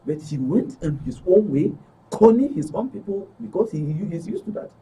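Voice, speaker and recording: A man's voice: high-pitched, expressive, moderate pace, mellow, husky. A man with a Nigerian accent giving a conversational personal account, repeating and rephrasing for emphasis. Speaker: studio guest. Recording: dull distant mic, faint background noise.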